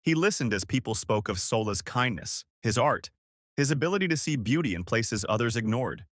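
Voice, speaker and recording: A man's voice: medium pitch, expressive, fairly quick, no room sound, studio quality. A man narrating a scripted story as a storyteller.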